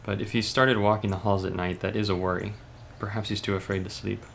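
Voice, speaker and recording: A man playing a composed, sceptical hospital doctor in a horror manga dub, measured and clinical, with a gravelly voice. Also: on the low side, flat delivery, fairly bright tone, a little hiss or hum. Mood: musing.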